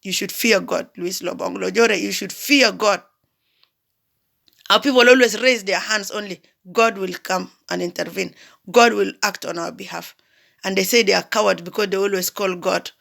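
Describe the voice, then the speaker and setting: A woman's voice: mid-range voice, fairly even intonation, normal speed, creaky, a bit bright. A woman from Sudan, delivering an impassioned public appeal in a preaching manner. She repeats phrases and rises to emphatic cries. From South Sudan.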